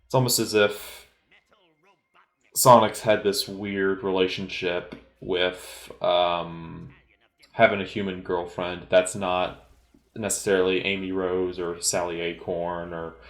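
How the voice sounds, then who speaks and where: A man giving an informal solo movie commentary in a halting, rambling, hesitant way. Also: low-pitched, flat delivery, a bit bright, echoey room. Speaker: film commentator.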